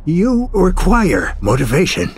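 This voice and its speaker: An actor playing a grandiose, cold, commanding elderly villain in a video game, speaking in a theatrical, portentous manner. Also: male voice, high-pitched, huge pitch swings, takes it slowly, breathy edge, full character voice. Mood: taunting. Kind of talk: video game cutscene acting.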